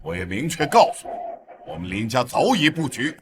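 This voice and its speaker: A man's voice: medium pitch, big pitch swings, a little fast, close to an everyday voice. A man acting a smug, scheming middle-aged clan patriarch, a melodrama villain with a sneering, theatrical delivery. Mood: smug menace. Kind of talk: melodramatic short-drama acting.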